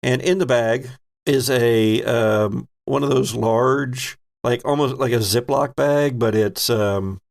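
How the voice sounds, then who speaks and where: A man's voice: medium pitch, slow, gravelly. A man running a tabletop game, narrating and bantering in a playful, conversational way. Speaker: game master.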